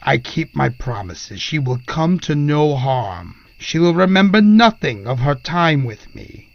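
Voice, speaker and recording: Slow, gravelly, sinister ancient spirit. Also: male voice, on the high side, sing-song, no room sound, muffled recording, full character voice. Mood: menacing. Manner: formal, archaic, theatrical diction. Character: sinister ancient spirit possessing a body, courtly, menacing, gloating. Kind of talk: horror audio drama monologue.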